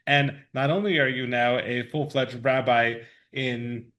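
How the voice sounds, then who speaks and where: A man's voice: mid-range voice, fairly even intonation, measured pace, fairly bright tone. A man hosting a podcast as a rabbi, speaking conversationally with frequent filler words. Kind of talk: podcast interview.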